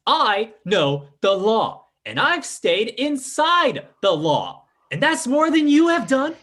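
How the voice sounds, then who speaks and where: An actor playing a scheming, defiant fake psychic in a live old-time radio drama, with a theatrical, formal delivery. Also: male voice, extremely high pitch, big pitch swings, slow, fairly bright tone, heavily acted. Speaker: stage actor in radio play.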